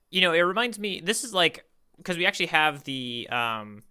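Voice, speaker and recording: A man's voice: a little high, sing-song, moderate pace, pushed and bright, smooth. A man co-hosting a podcast, chatting casually about manga in an earnest, playful way. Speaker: podcast co-host.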